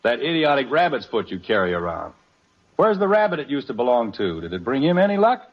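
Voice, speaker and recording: A man's voice: medium pitch, sing-song, a little fast. An actor playing a stubborn, exasperated family father in an old-time radio comedy, blustering and argumentative. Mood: exasperated, sarcastic. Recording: dull old radio recording.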